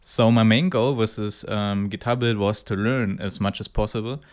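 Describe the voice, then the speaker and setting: Male hobbyist vlogger, lowish pitch, clean resonant tone, casual and slightly halting delivery. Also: fairly even intonation. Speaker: hobby guitar builder presenting own project. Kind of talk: casual talk to camera.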